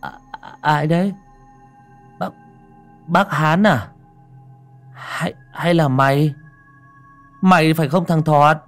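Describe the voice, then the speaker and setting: A man's voice: slow, long pauses. A man voicing a terrified young man who stammers and pleads in a raised voice. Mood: fearful. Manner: stammering, pleading, high-pitched. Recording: faint music underneath.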